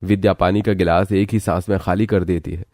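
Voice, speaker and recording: A man's voice: deep voice, level tone, brisk, darkish tone, no echo, clean studio sound. A man narrating a scripted cartoon story as its storyteller.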